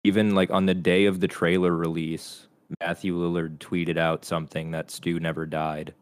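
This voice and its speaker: A man's voice: low-pitched, little pitch movement, normal speed, no echo. A young man co-hosting a podcast in casual chat, blunt and rowdy, swearing constantly. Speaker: podcast co-host.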